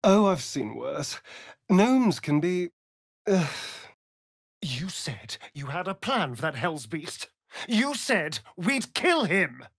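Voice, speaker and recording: An actor playing a sardonic, vain vampire rogue in a video game, with a theatrical, arch, drawling delivery. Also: male voice, high-pitched, very animated, slow, slightly rough, no echo, clean studio sound, full character voice. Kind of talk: video game character voice lines.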